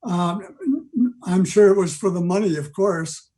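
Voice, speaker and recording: An older man giving an informal history talk on a video call, rambling along with halting digressions. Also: high-pitched, expressive, dark tone. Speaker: club member giving a presentation. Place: video meeting from home.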